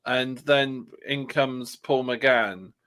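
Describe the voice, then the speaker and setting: A man talking casually as a podcast panellist, sometimes pausing to search for his words. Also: medium pitch, fairly bright tone. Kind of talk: casual fan discussion.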